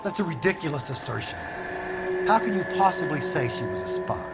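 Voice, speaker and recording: An actor playing a middle-aged company boss in a video game, hesitant, guarded and self-justifying. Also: male voice, fairly high pitch, very animated, brisk, over loud music. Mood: defensive, indignant. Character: defensive, evasive businessman, company boss under questioning. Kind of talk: video game dramatic acting.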